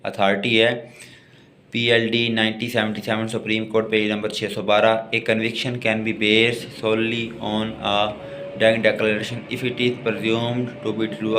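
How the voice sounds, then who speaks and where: A man with a Pakistani accent giving a law lecture, reading notes aloud haltingly, word by word, and explaining them. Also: on the low side, background noise. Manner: slow, halting word-by-word when reading, plainer explanations between.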